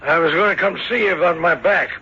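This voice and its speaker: An actor playing a folksy, grumbling farmer with a gravelly voice and a rustic, gossipy manner in an old radio drama. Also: male voice, sits high, fast, pushed and bright, a little hiss or hum, exaggerated voice. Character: folksy, grumbling male farmer, father of grown son. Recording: dull, muffled old radio recording.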